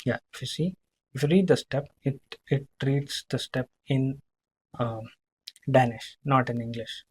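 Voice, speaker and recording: A man demonstrating software in a live screen-share walkthrough, speaking haltingly, repeating himself and often checking in with a short tag question. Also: mid-range voice, husky. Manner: halting, repetitive, checking in with "right?".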